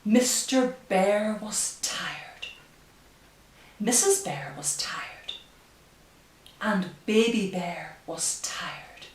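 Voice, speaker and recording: A woman librarian speaking at story time for young children, with careful, deliberate phrasing and pauses. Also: mid-range voice, animated, whispered. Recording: roomy, distant, faint hiss.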